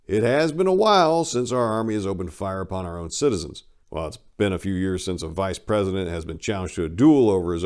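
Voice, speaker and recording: A man's voice: fairly low pitch, darkish tone. A man giving a dramatic reading of a satirical monologue in a sarcastic, opinionated, rhetorical manner. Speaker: narrator reading a book aloud.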